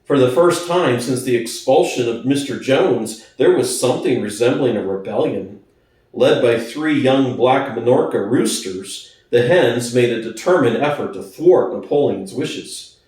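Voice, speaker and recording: A man's voice: mid-range voice, a little mellow. A man reading a classic novel aloud as a dramatic scripted narration. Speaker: audiobook narrator. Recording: very echoey room, distant from the mic.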